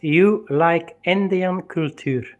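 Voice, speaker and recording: Slow, low-voiced hesitant woman. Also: muffled recording. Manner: hesitant, halting, full of fillers. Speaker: relaying questions from a guest. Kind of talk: casual off-camera questions.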